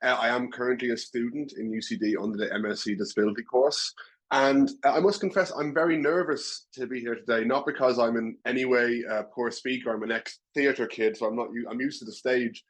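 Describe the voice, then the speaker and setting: A man's voice: mid-range voice, steady tone, a little fast. A young man with a Dublin Irish accent, speaking earnestly as a current student in a webinar. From Ireland. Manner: earnest, wordy, self-deprecating. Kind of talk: webinar speech.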